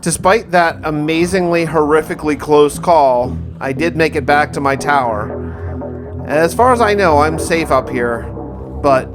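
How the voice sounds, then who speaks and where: A man's voice: a little high, unhurried, music in the background. A man hosting a gaming video, telling a dramatic first-person story of his play in a theatrical, in-character way. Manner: theatrical, in-character roleplay delivery with repeated phrases.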